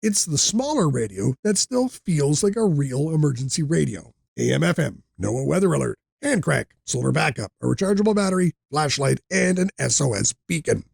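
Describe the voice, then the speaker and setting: A man's voice: fairly high pitch, very animated, normal speed, vocal fry, hardly any pauses, a little mellow, breathy edge, no echo. Guy reading a product review script, kinda crackly voice, very dry close sound, straight to the point.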